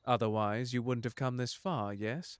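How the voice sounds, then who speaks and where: A man's voice: mid-range voice, fairly even intonation, moderate pace, smooth, dry recording, natural voice. A man playing a suave, unflappable butler in an ASMR audio drama, speaking formally with dry courtesy and a protective streak. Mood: reassuring. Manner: formal, polite, dryly sardonic. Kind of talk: ASMR audio drama roleplay addressed to the listener.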